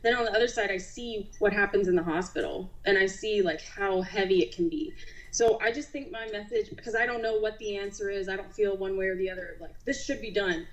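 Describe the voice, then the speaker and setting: A young woman speaks as an interview guest in a casual, reflective style. Her pitch is average for a woman, and her phrasing is informal and conversational, as in everyday talk. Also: brisk, low-quality recording. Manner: conversational, frequent use of "like". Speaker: nurse being interviewed.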